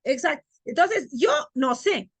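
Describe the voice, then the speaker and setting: A woman teacher leads a class over a video call, her voice set high and recorded close and dry. She quizzes the students as she goes, stretching key words out slowly for emphasis. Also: lively intonation, no room sound. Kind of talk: online class lecture.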